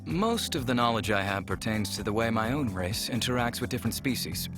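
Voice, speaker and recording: An actor voicing a curious, polite alien scholar in a visual novel game, speaking in measured, earnest sentences. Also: male voice, lowish voice, animated. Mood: earnest, scholarly. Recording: music clearly playing behind.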